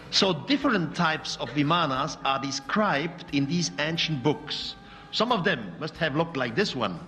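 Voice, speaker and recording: A man with a Swiss German accent gives a lecture, his voice fairly high and very animated as he works through his English with small slips. The sound carries a long hall reverb, with faint music underneath. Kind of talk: public lecture.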